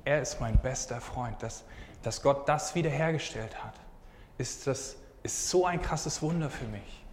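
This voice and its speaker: A man's voice: mid-range voice, measured pace. Young male preacher in his twenties, earnest and casual, church hall with long reverb. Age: 20s. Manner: earnest, casual, often says "hey". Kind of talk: sermon with personal testimony. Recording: hall reverb, faint background noise.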